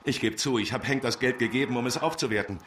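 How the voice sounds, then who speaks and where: An actor playing a naive, nervous, pious undertaker's helper in a German radio crime drama, anxious and pleading. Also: male voice, mid-range voice, fast, fairly bright tone, recorded from a distance, a little hiss or hum, natural voice.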